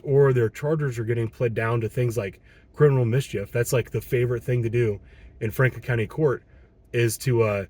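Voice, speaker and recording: A man in his thirties with a Midwestern American accent, speaking at a middling pitch. He is a patrol police officer talking on his own, hoarse as he loses his voice, frustrated and earnest, with frequent ums and restarts. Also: darkish tone. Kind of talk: solo monologue commentary.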